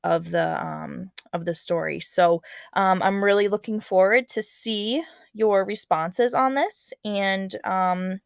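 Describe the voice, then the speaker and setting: A woman teacher reads a children's story aloud at a middle pitch. Her delivery is expressive, slipping into casual and encouraging commentary. The recording is dry, without room sound. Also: slow pace, creaky, clear, resonant tone, dry recording. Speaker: teacher reading aloud to students.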